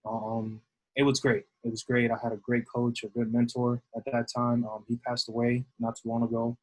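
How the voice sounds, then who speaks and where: Husky New man, rambling. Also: medium pitch, monotone, normal speed, a little mellow, rough recording. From New York City. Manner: rambling, many fillers and restarts. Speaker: guest, assistant baseball coach. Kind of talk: casual interview answers.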